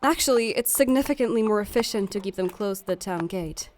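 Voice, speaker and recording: A woman voicing a brisk, formal, scheming female staff aide, articulate and dryly businesslike, in a dramatic fandub. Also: medium pitch, animated, a crowd in the background, natural voice. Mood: polite, businesslike.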